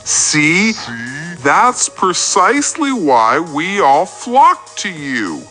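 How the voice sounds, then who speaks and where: A man plays a fawning tradesman on a comic radio call-in, his bright voice swinging up and down. He is earnest and eager to please, rambling into overshared details. Music runs faintly underneath. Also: sits high, huge pitch swings, drawn-out words, heavily acted. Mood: admiring. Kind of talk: absurdist comic radio play.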